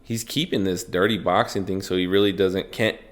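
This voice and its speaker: A man co-hosting a podcast, chatting casually and reacting excitedly while watching a fight, with a slightly nasal voice. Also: deep voice, a little fast. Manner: excitable, swings into loud reactions. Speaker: podcast co-host.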